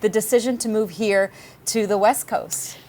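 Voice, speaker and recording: A woman's voice: mid-range voice, moderate pace, darkish tone, slight background noise. A woman hosting a talk show, upbeat as she introduces and prompts her guest.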